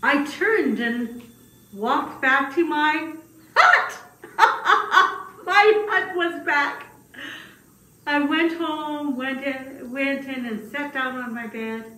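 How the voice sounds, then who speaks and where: A woman's voice: high voice, pushed and bright, full character voice. Echoey, fretful old grass cutter voiced by a woman. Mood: contented. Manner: slow, dramatic, hesitant telling with wailing exclamations. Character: humble, fretful old male grass cutter, puppet storyteller. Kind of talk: puppet-show storytelling. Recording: distant, echoey room with faint noise.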